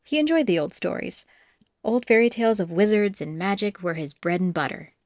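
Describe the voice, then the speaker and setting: A woman's voice: mid-range voice, expressive, brisk, darkish tone, dry recording, studio quality. A woman reading scripted fantasy audiobook narration as the storyteller.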